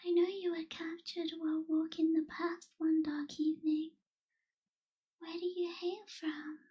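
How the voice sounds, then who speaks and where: A woman playing a melancholy young vampire in an ASMR roleplay, speaking in a soft, breathy half-whisper with wistful, formal phrasing. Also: extremely high pitch, little pitch movement, muffled recording. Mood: gentle, curious. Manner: slow, wistful, hushed, formal wording. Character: melancholy young female vampire, gentle reluctant captor.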